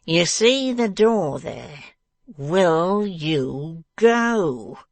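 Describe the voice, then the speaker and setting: A man voicing a malicious male dwarf villain in a storybook, taunting and domineering, with slow emphatic menace. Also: a little high, expressive, gravelly, a bit bright, no echo, full character voice. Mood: roaring, threatening. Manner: menacing, emphatic, drawn-out delivery.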